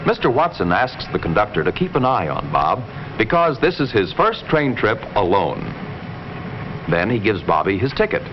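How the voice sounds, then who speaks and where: A low male voice delivers measured 1950s educational narration with lively rises and falls. The sentences are simple and explanatory. It comes through a dull, noisy old film soundtrack. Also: over music.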